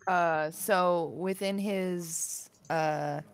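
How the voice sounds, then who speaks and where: A woman's voice: fairly low pitch, steady tone, extremely slow, smooth. A woman playing a tabletop game, chatting casually and a little tentatively in informal phrases. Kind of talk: casual chat during a game.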